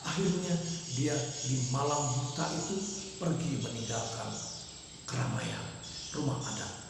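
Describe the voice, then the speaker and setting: A man telling a folk legend in a halting, repetitive oral storytelling manner. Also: medium pitch, animated, vocal fry. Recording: echoey room with loud background noise.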